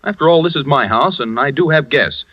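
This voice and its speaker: An actor playing a genteel, anxious wealthy host in a 1940s radio drama, refined and proper. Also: male voice, on the high side, expressive, fairly quick. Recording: dull lo-fi old radio recording.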